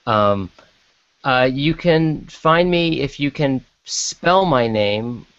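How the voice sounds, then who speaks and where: A man chatting casually as a podcast guest, halting and self-deprecating, over an online call. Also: mid-range voice, lively intonation, fairly bright tone, clear, resonant tone, no room sound. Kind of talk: casual chat. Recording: dull lo-fi online call audio.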